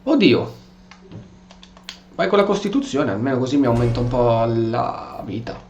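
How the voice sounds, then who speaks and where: An Italian man giving casual, excitable let's play commentary on a video game and answering the characters in role. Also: mid-range voice, normal speed. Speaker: gaming video host. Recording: roomy echo, faint background noise.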